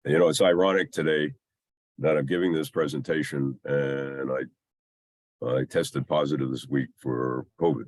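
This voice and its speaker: A man with a scratchy, under-the-weather voice gives an explanatory technical slide presentation in a halting, hesitant way with long pauses. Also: very deep voice, steady tone, no room sound. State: scratchy voice from a mild illness.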